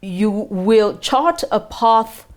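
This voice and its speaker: A woman's voice: fairly high pitch, expressive, extremely slow, a little hiss or hum. A woman with a Kenyan accent hosts a conversational interview, interjecting readily and repeating words for emphasis. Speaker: interview host.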